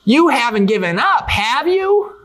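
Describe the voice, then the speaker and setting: A woman's voice: high-pitched, very animated, measured pace, a bit bright, heavily acted. A woman voicing a plucky, earnest young male hero in a theatrical puppet show. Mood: determined.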